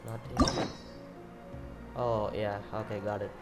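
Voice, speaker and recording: A man's voice: lowish voice, level tone, slow pace. A man giving casual, offhand gameplay commentary, half talking to himself. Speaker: gaming YouTuber. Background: loud game music.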